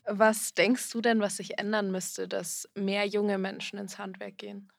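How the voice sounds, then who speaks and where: A woman co-hosting a podcast interview, asking friendly, clearly phrased questions. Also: medium pitch, flat delivery, unhurried, no echo, studio quality. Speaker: podcast co-host.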